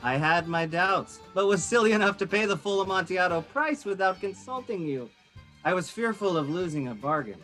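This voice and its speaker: A male actor plays a scheming period nobleman with a high, swooping voice. He is solicitous and coaxing in a theatrical way, feigning friendship, with music behind him on a home call recording. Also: expressive, brisk, a bit bright. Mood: feigned doubt. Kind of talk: theatrical period drama acting over video call.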